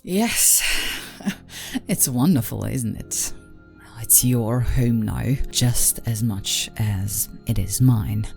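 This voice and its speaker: A woman voicing a genteel, doting vampire aristocrat in an ASMR roleplay, soft and breathy, coaxing, often sinking into a whisper. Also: extremely low pitch, very slow, soft background music, heavily acted. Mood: welcoming, genteel.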